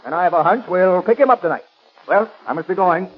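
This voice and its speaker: Pompous police chief, high pitch, emphatic, earnest, muffled old radio recording. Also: male voice, expressive, quick pace, slightly rough. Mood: self-satisfied. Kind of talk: 1930s radio drama acting.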